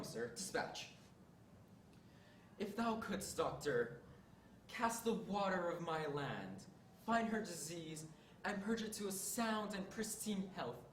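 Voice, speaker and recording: A teenage boy playing a defiant, desperate king, reciting slowly and barking commands, recorded from a distance in an echoey hall. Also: high voice. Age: teens. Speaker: student in a monologue contest. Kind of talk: stage monologue recitation. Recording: echoey room, distant mic, faint hiss.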